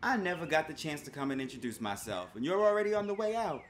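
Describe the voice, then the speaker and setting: A man's voice: fairly high pitch, very animated, fast, a little hiss or hum. A man playing a forthright, gossipy neighbor who dramatically recounts a grim local tragedy in a horror story. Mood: frank, apologetic.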